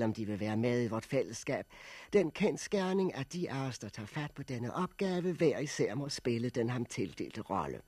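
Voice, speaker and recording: An old, pedantic professor in a radio drama. His voice is husky and dry in sound, swinging widely in pitch as he lectures with formal, cool deliberation. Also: darkish tone, no room sound. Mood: stern, emphatic. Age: older. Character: elderly male sociology professor, pedantic, coolly unsentimental orator. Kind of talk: 1960s radio drama acting, public speech.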